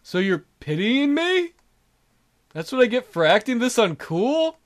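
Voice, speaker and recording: A man's voice: very high-pitched, very animated, unhurried, pitched above the performer's own voice, exaggerated voice. A man voicing a self-absorbed, fatalist god who complains in a dramatic way. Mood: indignant.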